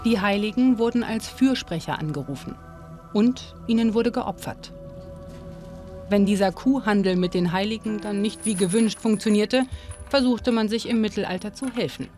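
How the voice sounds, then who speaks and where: A woman giving calm, measured scripted narration for a documentary. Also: mid-range voice. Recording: studio voice-over with clear music underneath.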